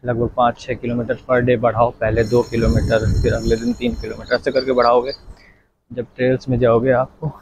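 A man's voice: mid-range voice, little pitch movement, a little fast, background noise. A man vlogging as he walks outdoors, chatting to viewers and giving them casual, instructive advice. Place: outdoors, walking in the sun.

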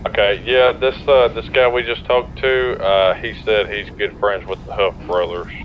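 A lowish male voice talks casually as a roleplay police marshal. The sound is muffled and lo-fi, like a radio, with faint noise. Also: fairly even intonation, moderate pace.

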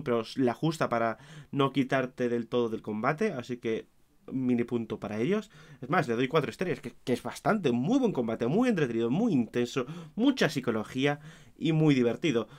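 A hoarse young man giving an opinionated, self-mocking casual review, with his volume swinging between loud and mumbled. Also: medium pitch. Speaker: wrestling show reviewer.

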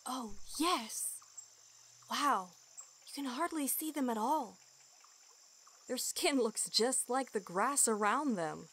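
A woman's voice: on the high side, animated, takes it slowly, pauses often, a bit bright, breathy edge, full character voice. A woman voicing a plucky young archer companion with a casual manner in a fantasy audio drama. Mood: curious, hushed. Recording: music behind the voice.

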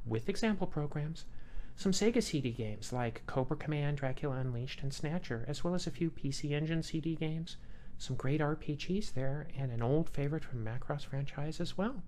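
A woman with a low, bass-heavy voice speaks as a video host in a scripted monologue. Her delivery is dry and sardonic, broken up by dramatic pauses. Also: mellow, a little hiss or hum. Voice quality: deep, bass-boosted voice. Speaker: video creator and host of a retro gaming channel. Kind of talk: scripted monologue channel update.

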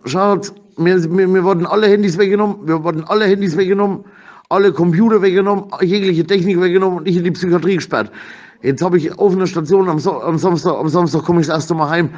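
A man with a slightly high voice talks very fast on a dull, lo-fi recording. He is agitated and slangy, stumbling into stammered repetitions as he rambles. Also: steady tone, darkish tone.

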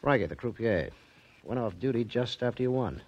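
An actor playing a gruff, suspicious casino boss in 1940s radio drama, husky and curt with slangy tough talk. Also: male voice, medium pitch, expressive, brisk, noisy background, lightly acted. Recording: muffled old radio recording.

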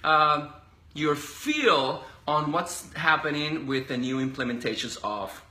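A man's voice: a little high, lively intonation, takes it slowly, sharp tone, slight background noise. A man from Colombia hosting a casual interview, encouraging his guest and echoing his words back. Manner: encouraging, echoes and affirms the guest. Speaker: interview host. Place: small indoor office room. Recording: echoey small room.

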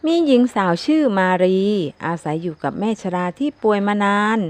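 A woman's voice: medium pitch, sing-song, moderate pace, no room sound. A woman narrating a scripted children's folktale as a storyteller. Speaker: storyteller, channel host.

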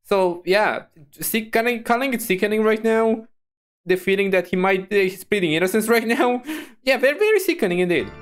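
A woman streamer giving casual, chatty reaction commentary, expressive and often repeating her phrases. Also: medium pitch, moderate pace.

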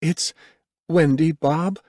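Audiobook role: young female medic, careful, insistent, raised pitch , read by a male narrator. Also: fairly even intonation, slow pace, darkish tone, dry recording, studio quality. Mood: gentle, sorrowful.

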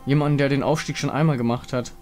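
A man voicing a solemn, fatalistic warrior woman of the moon in a game story, grave, formal and measured. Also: mid-range voice, steady tone, a little mellow, close to an everyday voice. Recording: music behind the voice.

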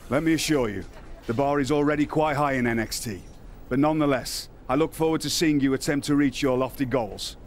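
A man's voice: mid-range voice, a little fast, a little hiss or hum, close to an everyday voice. A man voicing a formal, refined and condescending wrestling executive in a video game. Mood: measured.

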